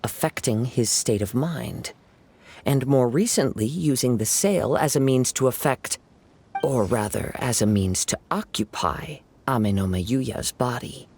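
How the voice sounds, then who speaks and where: A man voicing a calm, sharp young detective in a game, laying out his reasoning in a composed, analytical way. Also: mid-range voice, unhurried, a little hiss or hum, close to an everyday voice.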